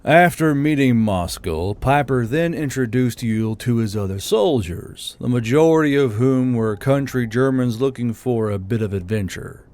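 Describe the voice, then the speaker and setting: A man's voice: mid-range voice, expressive, moderate pace, hardly any pauses, a little mellow. A man reading scripted military fiction as an audiobook narrator.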